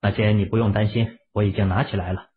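A man voicing a calm, confident young man in an audio drama, speaking matter-of-factly. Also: lowish voice, fast talker, natural voice. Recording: muffled phone-like audio.

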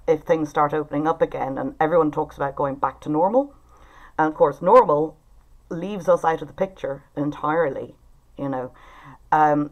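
A woman with an Irish accent, informally hosting an online group discussion over a video call. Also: low voice. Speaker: writers' group facilitator and event host. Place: indoors at home.

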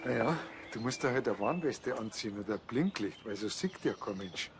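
A man with a Bavarian accent playing a sly village policeman, laconic and deadpan, in a TV drama. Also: on the low side, brisk, noisy background, lightly acted.

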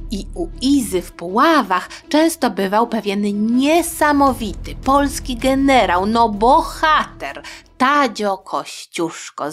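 A woman hosting a history podcast, telling stories in a playful, colloquial, expressive way for young listeners. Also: a little high.

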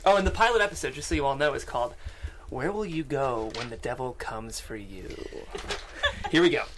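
A man's voice: medium pitch, expressive, a little fast, fairly bright tone. A young man hosting and reading a script, speaking casually into a close studio microphone. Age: young adult. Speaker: show host and stage-direction reader. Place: small podcast studio. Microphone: close studio microphone with foam windscreen.

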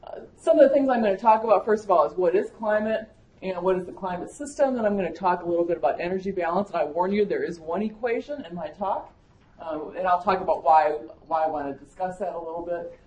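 A woman's voice: on the low side, quick pace, a little mellow, highs cut off. A woman with a Wisconsin accent, giving a conversational conference lecture as an agricultural climatologist, with frequent fillers. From Michigan, USA. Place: conference room. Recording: echoey lecture room.

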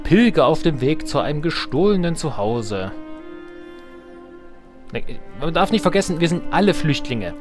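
A man hosts a let's play over clear game music. His pitch swings in a lively way as he moves between casual commentary, jokey asides and reading on-screen text. He sounds self-ironic and playful, at an ordinary male pitch. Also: normal speed, long pauses.

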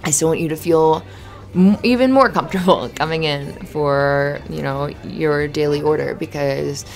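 A woman playing a cute, flustered, flirty barista in an ASMR roleplay, kind and giggly, with halting, rambling talk. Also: low-pitched, vocal fry. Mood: shy, hopeful. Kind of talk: ASMR roleplay, conversational acting. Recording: music under the voice. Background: music.

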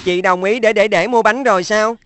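Woman as an earnest, measured young schoolboy. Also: mid-range voice, fairly bright tone, close to an everyday voice. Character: thoughtful, dutiful young schoolboy, middle sibling. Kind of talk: cartoon dub.

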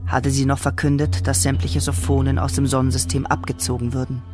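An actor narrating a science fiction radio drama in a scripted style. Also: male voice, medium pitch, completely flat delivery, a little fast, close to an everyday voice. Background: music.

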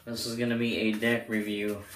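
A young man with a low, hoarse voice talks informally to camera, reviewing a product. He rambles a little and repeats himself. The sound is roomy, picked up by a camera mic in a small bedroom, with some background noise. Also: level tone, moderate pace. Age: young adult. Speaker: host of playing card deck reviews. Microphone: camera mic a short way off.

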